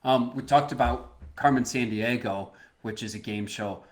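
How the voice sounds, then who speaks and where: Animated man swapping stories on a podcast. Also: medium pitch, moderate pace. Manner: animated storyteller, laughs easily, restarts sentences. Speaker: podcast co-host. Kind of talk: casual chat.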